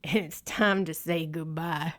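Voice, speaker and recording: A big storybook bear, acted out by a woman reader, earnest and stubborn. He speaks very slowly, stretching his words with a worried, bumbling air. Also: low voice, steady tone. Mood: resigned. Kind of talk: children's picture book read-aloud.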